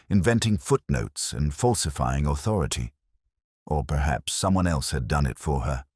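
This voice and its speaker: Male narrator for literary detective fiction: very deep, husky, brooding and measured, recorded dry and close. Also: dry recording. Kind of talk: scripted first-person detective story narration.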